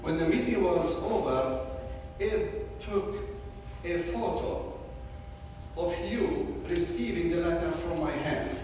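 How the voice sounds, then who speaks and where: Very echoey noisy hall, older man, Palestinian accent, slow deliberate delivery, public statement, short phrases with pauses. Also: fairly high pitch, expressive, a little mellow, recorded from a distance. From Jerusalem. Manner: measured, deliberate, pausing between short phrases. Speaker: audience member addressing a senator. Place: large echoing hall at a public town hall.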